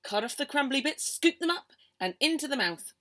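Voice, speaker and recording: A woman reading a children's poem aloud in a theatrical, expressive storytelling manner. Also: high-pitched, moderate pace. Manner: theatrical, expressive, with hushed whispers and exclamations. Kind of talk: animated children's poem performance.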